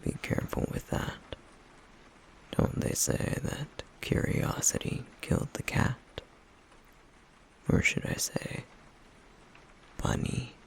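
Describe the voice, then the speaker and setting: A man playing a stoic, guarded, wounded flame demon in an intimate ASMR roleplay, speaking in a breathy, gravelly near-whisper with a slow, brooding, wryly teasing delivery. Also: slight background noise, close to an everyday voice, poor audio. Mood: teasing menace.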